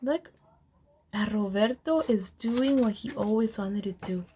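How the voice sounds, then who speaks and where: A woman reading a children's storybook aloud in an expressive storyteller's manner, with deliberate pauses. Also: medium pitch, breathy edge. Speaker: program administrator reading aloud.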